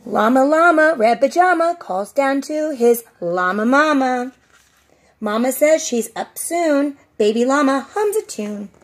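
A middle-aged woman, a preschool teacher, reading a storybook aloud to young children in an expressive, sing-song way with drawn-out phrase endings. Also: high voice, slight background noise. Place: outdoors on a covered porch.

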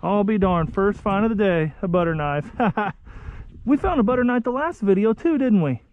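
A young American man chatting casually to viewers in a folksy, excitable way while out on the water. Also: high voice, normal speed, dry recording. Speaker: vlog host. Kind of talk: casual outdoor vlog chat. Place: outdoors on a kayak under a bridge. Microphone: action camera. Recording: muffled action camera audio with outdoor noise.

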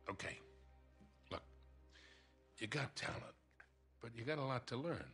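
An actor playing a gruff, worldly older American treasure hunter in a video game cutscene, with a gravelly, wry drawl. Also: male voice, mid-range voice, expressive, unhurried, a bit bright. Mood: wry, persuasive. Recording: music behind dialogue.

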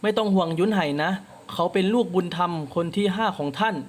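A man's voice: high voice, steady tone, quick pace, a little hiss or hum, lightly acted. A man voicing a loyal male martial guard who speaks with urgent earnestness. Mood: earnest.

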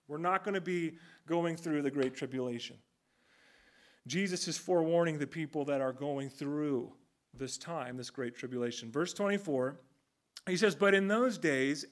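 A man in his thirties preaching a conversational, earnest sermon from a pulpit in a church sanctuary. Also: on the high side. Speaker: pastor. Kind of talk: expository sermon. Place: church sanctuary with a stage and band gear.

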